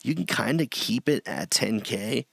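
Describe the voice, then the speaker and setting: A middle-aged man with a low voice gives a loose, chatty screen-share tutorial from a small home room, often restarting his sentences. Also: expressive, a little slow, vocal fry, dry recording. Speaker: streamer teaching audio setup.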